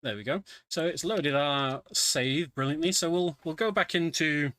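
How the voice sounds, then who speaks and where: A man's voice: on the high side, moderate pace, pushed and bright, no echo. A man giving casual, chatty live commentary as he plays through a game in a speedrun. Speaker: speedrunner commentating his run. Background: video game music.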